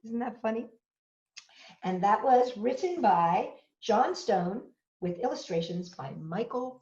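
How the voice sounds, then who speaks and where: High, animated woman storytelling for children. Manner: sing-song, exclaiming. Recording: roomy, dull lo-fi sound with music.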